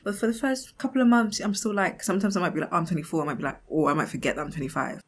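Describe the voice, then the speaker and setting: A woman's voice: on the low side, fairly even intonation, rapid-fire, slight background noise. A woman in her twenties hosting a casual podcast monologue, chatting expressively and acting out imagined remarks in a giggly, conversational way. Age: 20s. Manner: giggly, often laughing mid-thought. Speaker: podcast host.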